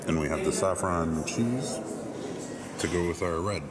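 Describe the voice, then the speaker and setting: A man's voice: sits low, measured pace, over a noisy crowd. A middle-aged man chatting casually and jokily for his travel vlog, talking into a camera held at arm's length. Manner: jokey, chatty, enthusiastic. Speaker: travel vlogger. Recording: loud background noise.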